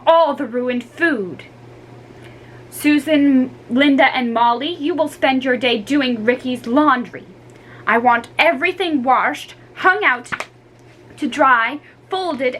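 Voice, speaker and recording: A woman voicing a stern, disappointed mother who scolds in measured words, in a storybook reading. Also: high voice, fairly bright tone, slight background noise, pitched above the performer's own voice, low-quality recording.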